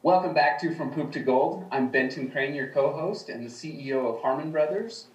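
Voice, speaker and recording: A man's voice: on the high side, normal speed, reverberant, far from the mic, low-quality recording. A man co-hosting a podcast and opening an interview, upbeat and welcoming as he introduces his guest. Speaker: podcast co-host and company CEO.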